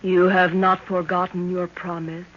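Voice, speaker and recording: A woman's voice: fairly low pitch, little pitch movement, measured pace, fairly bright tone. An actress playing a mysterious, proud young African native girl, speaking formally in an old radio drama. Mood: solemn, mysterious. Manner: solemn, formal, refers to self by name. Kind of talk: 1930s radio acting. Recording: muffled, faintly noisy 1930s radio recording.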